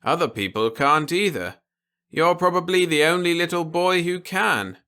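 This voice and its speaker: A gentle old grandfather from a storybook, acted by a man. He speaks protectively and patiently, explaining things in simple words to a small child. The voice has a forward, bright edge. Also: a little high, normal speed, clear, resonant tone, pitched above the performer's own voice. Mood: gentle, reassuring. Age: older.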